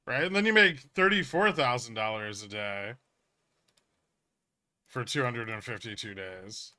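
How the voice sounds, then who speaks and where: A man with a bright, forceful voice at a middle pitch talks as a livestream host. He explains in a casual, blunt and emphatic way, like an educator chatting with viewers, and swears freely. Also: a little slow. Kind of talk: casual livestream chat, answering viewer questions.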